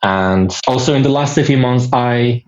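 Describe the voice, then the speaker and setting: A man's voice: medium pitch, measured pace, highs cut off. A man in his twenties from Turkey with a Turkish accent, chatting casually as an interview guest. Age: 20s. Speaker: podcast guest, photographer and tour guide.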